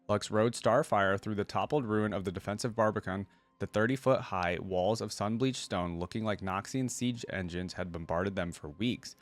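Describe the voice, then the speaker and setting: Male reaction host, lower register, reads stories aloud with offhand remarks, very dry sound. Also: no room sound. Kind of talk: reading lore aloud with casual commentary.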